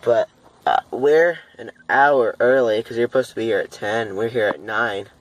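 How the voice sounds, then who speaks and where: A man's voice: medium pitch, normal speed. A young man vlogging in casual chat on a noisy handheld phone recording.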